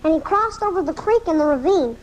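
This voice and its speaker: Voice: very high voice, lively intonation, brisk, a little hiss or hum. An actor voicing a sickly, lonely, eager schoolboy in a 1980s live radio drama, on a home recording taken off the air. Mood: earnest. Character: sickly, lonely, eager schoolboy who adores his dog.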